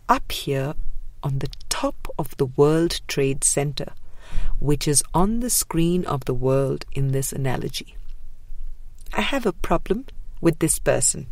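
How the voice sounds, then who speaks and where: A woman reading a spiritual book aloud as scripted narration, in a measured, deliberate way with pauses. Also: low-pitched, a little mellow, no room sound. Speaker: audiobook reader.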